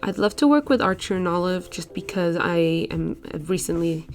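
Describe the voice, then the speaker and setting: A woman's voice: fairly low pitch, animated, moderate pace. A young woman in her twenties whose first language is Portuguese, chatting casually over a sketching video with lots of "like" and "uh" fillers. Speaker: art vlogger.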